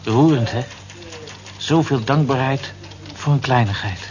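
An actor playing a mild-mannered, amused office worker in a Dutch radio drama, dry and understated. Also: male voice, medium pitch, unhurried, natural voice. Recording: dull lo-fi old radio recording, clear noise.

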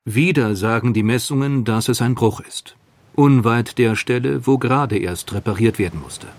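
A man's voice: medium pitch, moderate pace, dry recording. Sober male documentary narrator.

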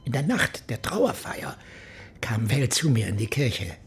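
An actor playing a docile preacher confessing to a killing in a hoarse, breathy voice for radio drama. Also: male voice, mid-range voice, very animated, measured pace, exaggerated voice. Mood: docile, subdued. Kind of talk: German radio crime drama acting.

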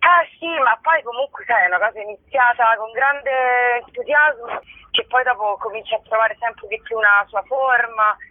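A woman's voice: fairly high pitch, normal speed. A woman with a Roman accent giving an informal interview as a guest, effusive and joking, rambling as she goes. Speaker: interview guest, comic actress and writer. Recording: tinny narrow-band phone line.

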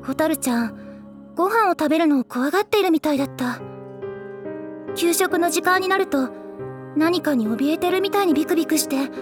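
A woman voicing a gentle teenage schoolgirl who recounts a childhood friend's story softly and earnestly. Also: very high voice, lively intonation, normal speed, pauses often, music in the background. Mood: worried. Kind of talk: anime drama CD acting.

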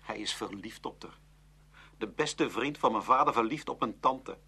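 A man acting an awkward, easily embarrassed teenage boy in a radio play, husky and hesitant. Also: lowish voice, moderate pace, sharp tone, heavily acted. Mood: embarrassed, upset.

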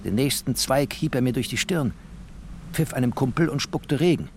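A man reading literary prose as a narrator, with an expressive, sardonic and dramatic delivery. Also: mid-range voice, normal speed, a little hiss or hum.

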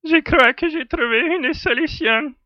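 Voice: sits high, unhurried, dry recording, highs cut off. An actor playing a serene, assured alien native in an audio drama. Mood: calm, assured. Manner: tranquil, assured. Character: serene alien native, muscular, three-eyed, calm brotherly friend.

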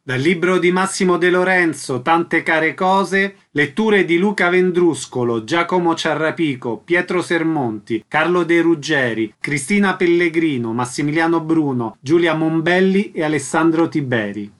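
A man reading a scripted radio announcement in a measured, formal manner. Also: on the high side, fairly bright tone.

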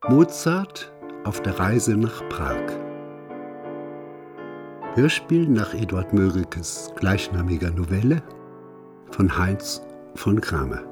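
A man's voice: deep voice, animated, slow pace, pauses often. A man announcing the title of a radio drama, with piano music behind him.

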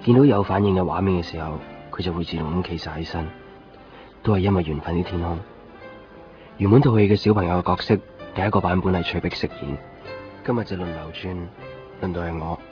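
A low, hushed young man with a Hong Kong accent narrates wistfully , played by a male radio actor. He sounds lonely and hesitant. The radio recording is dull, with music clearly underneath. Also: a little fast, long pauses, close to an everyday voice. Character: wistful lonely young man, anxious lovelorn student traveller. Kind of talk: radio drama monologue and dialogue.

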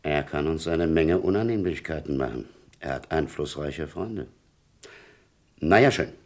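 An actor playing a cautious police superior in a German crime radio play, authoritative, brisk and sceptical. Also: male voice, extremely low pitch. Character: cautious male police superior giving orders.

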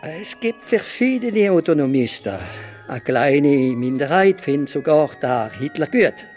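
An actor playing a proud, opinionated Alsatian writer in a radio play interview, answering in an assertive, measured way. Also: male voice, fairly high pitch, darkish tone.

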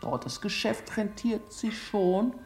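An actress voicing a worldly writer's quotations in a radio play, deliberate and weighty. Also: female voice, mid-range voice, animated, a little mellow, soft background music.

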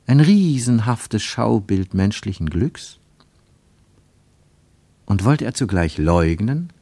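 Guy narrating a literary radio play, expressive swingy delivery, deliberate, dry studio sound. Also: on the low side, no room sound.

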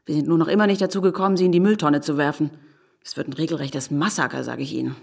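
A woman playing a sardonic, quick-witted married city woman in an audio drama, with a wry, teasing and theatrical delivery. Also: sits low, steady tone, gravelly, a little mellow, husky, full character voice.

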